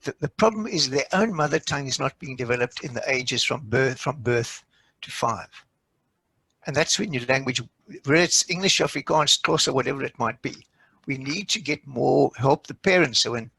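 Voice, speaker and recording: Gravelly, bright-voiced South African man. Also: medium pitch, no room sound, poor audio. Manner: anecdotal, explanatory. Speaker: education expert panelist. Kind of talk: panel discussion.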